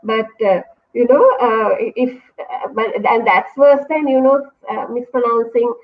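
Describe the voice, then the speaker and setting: A woman's voice: fairly high pitch, fairly even intonation, a little slow. A woman with a Sri Lankan accent, a university English lecturer, explaining her views thoughtfully in an online interview. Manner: thoughtful, explanatory, self-correcting. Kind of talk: academic discussion in an online interview. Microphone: video call. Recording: phone-like online call audio.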